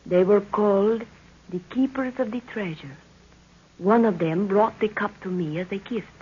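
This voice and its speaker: A woman's voice: mid-range voice, moderate pace, slight background noise, lightly acted. An actress playing a commanding, mystical jungle witch in a 1930s radio drama, speaking solemnly and formally. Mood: solemn, mysterious. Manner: solemn, formal, speaks of herself in third person. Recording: muffled old 1930s radio recording.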